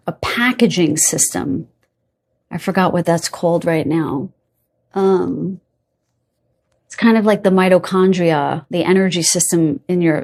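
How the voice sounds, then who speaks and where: A woman with a fairly low voice delivers a psychic card reading straight to her viewers. She drifts from thought to thought, keeps checking in with "okay?", and stops now and then to hunt for a word. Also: a little mellow. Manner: meandering, reassuring, checks in with listeners; pauses to search for words. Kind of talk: spirit guide reading to camera.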